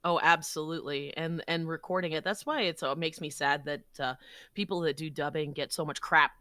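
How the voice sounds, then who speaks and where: A woman with a low-pitched, bright voice talks casually about her work as a podcast guest. The sound is close and dry, with very little room in it. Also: steady tone, a little fast, no echo. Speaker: guest voice actor.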